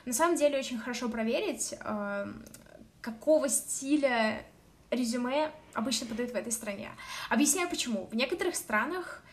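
A young woman talks through advice on a casual podcast. Her voice sits fairly high. She speaks in a lively, animated way, with fillers and small laughs along the way. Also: moderate pace. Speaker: podcast co-host.

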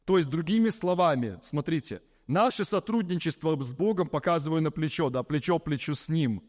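A man's voice: a little high, expressive, brisk, a little mellow. Emphatic man preaching in a reverberant hall. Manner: emphatic, repeats phrases for stress, addresses the congregation directly. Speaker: pastor. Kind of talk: sermon.

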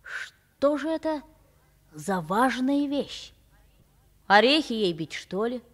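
A woman's voice: fairly high pitch, sing-song, takes it slowly, exaggerated voice. An actress playing a naive, frank young pauper boy in a radio play. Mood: curious, impatient. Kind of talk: Russian radio play acting. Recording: old 1950s radio recording.

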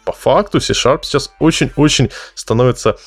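A man with a mid-pitched voice hosts a casual stream, jokey and openly enthusiastic. Faint music plays softly behind him. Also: moderate pace. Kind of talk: casual panel discussion.

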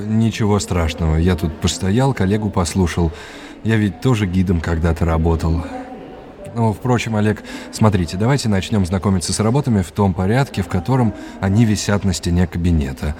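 Actor as a deep-voiced, exacting art expert. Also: male voice, natural voice. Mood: courteous, didactic. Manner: brisk, didactic, courteously formal. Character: confident, exacting male art expert and lecturer. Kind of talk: audio drama dialogue.

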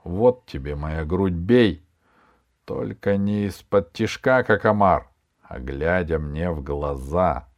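A man voicing a proud, passionate female djinn in a storybook tale, calmly defiant. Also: low voice, slow pace, lo-fi sound, full character voice. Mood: calm defiance. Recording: music behind the reading.

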